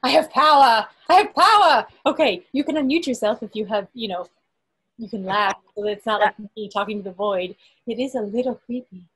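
High husky-voiced woman hosting online. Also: a little fast, a bit bright, low-quality recording. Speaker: meeting host running a quiz poll. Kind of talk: casual live event moderation. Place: online video call. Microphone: video call.

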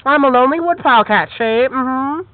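Performer doing a shy lonely cat, meek and plaintive, high voice; tinny phone sound. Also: fairly quick, no room sound, close to an everyday voice. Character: timid lonely cat in a woodpile.

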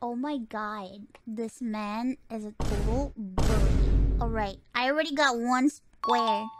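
A young woman streamer reacting live in an excitable, foul-mouthed way, breaking into shrieking outbursts. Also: on the high side, slow. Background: music.

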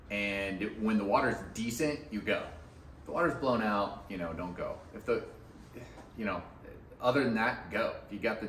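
A man in his thirties talks loosely to a camera about the river and the shop, with lots of ums and restarts and a teasing edge. His voice sits a little low. The sound is roomy and set back from the mic, with a faint hum underneath. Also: fairly bright tone, recorded from a distance. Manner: loose and chatty, teasing, with many fillers and restarts. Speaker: fly shop owner giving a fishing report. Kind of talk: casual fishing report to camera. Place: small fly shop with hats and rods on the walls. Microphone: camera a few feet away.

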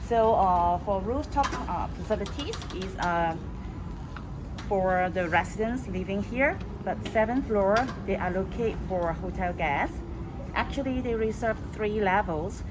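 Woman over loud city noise. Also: medium pitch, a little slow. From Hong Kong. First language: Cantonese. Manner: plain, matter-of-fact, with frequent uh fillers. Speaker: property agent. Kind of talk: answering questions, touring a building. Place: outdoors and in a building lobby and rooftop. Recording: loud outdoor noise, voice a bit off the mic. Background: loud city and traffic ambience.

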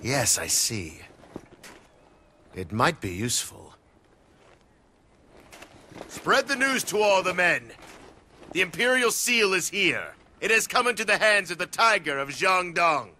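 A man's voice: high voice, very animated, slow pace, pauses often, bright, forceful tone, slight background noise, heavily acted. An actor playing a proud, bold middle-aged warlord and father in a video game, declamatory and commanding. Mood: scornful, assured, then rousing, fierce. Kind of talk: dramatic English video game cutscene acting.

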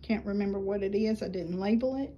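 A middle-aged woman chatting casually about her seedlings in a small greenhouse, unhurried and rambling with many ums, filmed on a handheld phone. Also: medium pitch, dull audio, a little hiss or hum. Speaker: flower farm vlogger. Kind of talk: casual gardening vlog. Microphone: phone camera held while filming.

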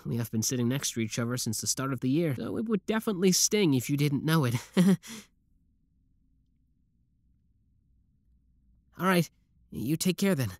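A man's voice: a little high, expressive, quick pace, a little mellow, no room sound, clean studio sound. A man playing an obsessive, lovestruck young schoolboy villain in a roleplay audio drama, talking intimately to the listener. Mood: flustered, eager. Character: shy schoolboy turned smug, obsessive yandere young villain.

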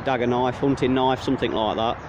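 A young man with an English Midlands accent, chatting excitedly while vlogging from a riverbank, full of tag questions. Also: mid-range voice, fairly quick. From England. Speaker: magnet fishing vlogger. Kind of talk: casual outdoor commentary. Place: riverbank outdoors. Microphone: handheld or body camera. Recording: outdoor wind and traffic noise.

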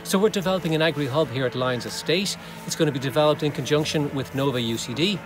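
A man, a professor giving a brisk outdoor talk to prospective students from a cow pasture. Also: a little high. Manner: brisk, list-like explaining. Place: open pasture outdoors with cows around. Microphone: phone or camera held close at selfie range. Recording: music bed under the voice.

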